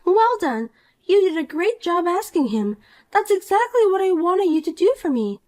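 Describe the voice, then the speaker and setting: Woman voicing Poppy, a high whiny schemer. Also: sing-song, moderate pace, a little mellow. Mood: smug. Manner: whiny, accusing, self-pitying. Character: shallow selfish jealous young woman. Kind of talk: dramatized story dialogue.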